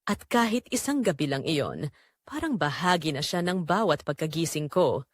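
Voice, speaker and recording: A woman narrating an audio drama in the first person as a wistful young man, in an earnest, measured, dramatic storytelling manner. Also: deep voice, expressive, no echo, clean studio sound. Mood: wistful, intimate. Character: wistful young man recalling a secret romance.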